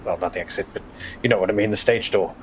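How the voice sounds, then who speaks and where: Measured, lowish-voiced male roleplayer. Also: steady tone, background noise. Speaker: tabletop game player. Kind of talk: in-character roleplay.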